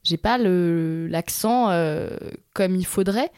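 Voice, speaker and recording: A young woman co-hosting a podcast in a relaxed, frank, slangy way. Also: on the low side, slow pace, clear, resonant tone, no echo, clean studio sound. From France, of Syrian family. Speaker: podcast co-host. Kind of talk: casual conversation.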